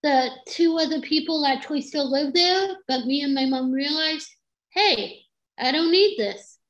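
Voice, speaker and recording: A woman's voice: sits high, animated, unhurried, fairly bright tone, highs cut off. A woman panelist chatting eagerly on a video call. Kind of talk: casual video call chat.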